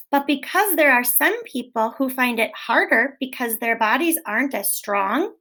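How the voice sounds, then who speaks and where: Woman reading aloud to children, bit high. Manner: gentle, clear, expressive reading with questions. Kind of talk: read-aloud storytelling for children.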